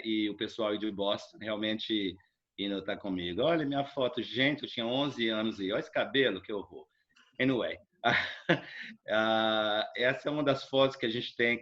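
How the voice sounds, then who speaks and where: A middle-aged man from Brazil with a Brazilian Portuguese accent, casually telling his life story as an interview guest, rambling and relaxed with frequent tag questions. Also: fairly low pitch, muffled recording.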